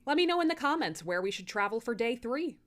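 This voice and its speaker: Lady telling folktales for a social video series, super lively up and down voice, kinda theatrical. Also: a little high, fast talker. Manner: animated, theatrical storytelling. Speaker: storyteller and series host.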